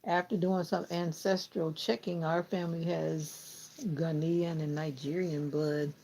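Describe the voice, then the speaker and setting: A woman's voice: low-pitched, little pitch movement, unhurried, warm tone, poor audio. A woman with a Southern US accent, chatting casually with viewers and laughing often while she trims her hair. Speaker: vlogger. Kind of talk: casual chat with live viewers.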